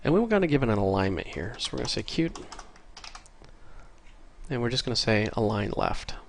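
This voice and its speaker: A man's voice: mid-range voice, sing-song, a little fast, vocal fry, a little hiss or hum. A man hosting a casual coding tutorial, a little congested from a cold, easygoing and apologetic as he thinks aloud while typing. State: stuffy, slightly congested from a cold. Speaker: programming tutorial host. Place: at a computer desk with keyboard typing.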